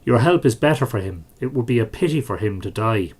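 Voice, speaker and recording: A man's voice: medium pitch, lively intonation, brisk, a little mellow, breathy edge. Man reading as worried mother in Irish legend. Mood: pleading.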